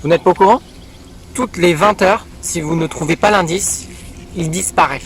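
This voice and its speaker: Indie survival film: wary, plain-spoken male castaway played by a man, high voice, light music underneath. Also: moderate pace, lightly acted. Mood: matter-of-fact.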